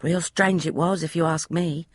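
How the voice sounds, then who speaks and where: A woman's voice: sits low, fairly even intonation, moderate pace, no echo, clean studio sound, pitched above the performer's own voice. A woman voicing a young, flustered housemaid who gossips in broad, common speech. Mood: gossipy.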